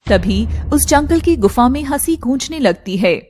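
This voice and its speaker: A woman narrates a cartoon tale in a high voice. The delivery is scripted storytelling in the third person. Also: lively intonation, a little slow, darkish tone.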